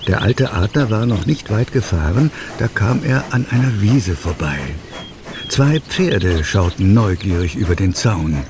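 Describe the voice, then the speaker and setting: A man narrating a scripted children's story in a measured, descriptive way. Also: fairly low pitch, animated. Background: background music.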